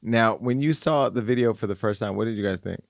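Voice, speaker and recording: A man's voice: lowish voice, fairly quick, creaky, no echo, studio quality. A man hosting a podcast, telling a story in a laughing, gossipy way. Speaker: podcast host. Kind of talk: casual chat.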